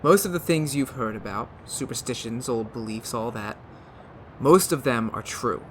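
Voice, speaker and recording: A man acting an undead son who has come back as a wolf, speaking quietly and ominously in a radio drama. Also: mid-range voice, moderate pace, slight background noise, close to an everyday voice. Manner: quiet, soft, ominous and regretful. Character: undead young man returned as wolf, eerie calm.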